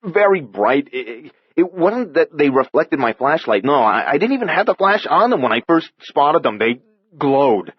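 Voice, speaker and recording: A man's voice: fairly high pitch, big pitch swings, moderate pace, slightly rough, dry recording, voice pushed up. A man voicing a frightened young repairman in his twenties who talks shakily and stammers, on a slightly dull recording. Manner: shaky, stammering.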